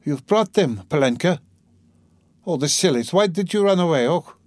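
A man's voice: a little high, lively intonation, normal speed, no echo, voice pushed up, natural voice. A man voicing a dying, delirious and bitter sick mother in an audiobook, faint and gasping, with a fretful, rambling, dramatic delivery. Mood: weak, reproachful.

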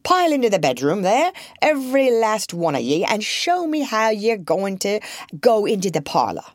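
A woman's voice: big pitch swings, normal speed, no room sound, natural voice. Bossy rustic storybook mother with a big swooping voice and dry close sound, as a woman puts her on. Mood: proud. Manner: scolding, high-pitched and emphatic. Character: bossy proud poor mother, rustic speech.

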